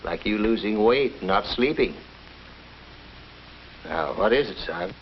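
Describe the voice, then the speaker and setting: An actor playing a kindly, folksy family doctor who explains and reassures in a 1950s educational film. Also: male voice, lowish voice, animated, measured pace, slight background noise. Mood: gentle, coaxing. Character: kindly small-town family doctor recounting cases, reassuring. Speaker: narrator and doctor. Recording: dull old film soundtrack with music.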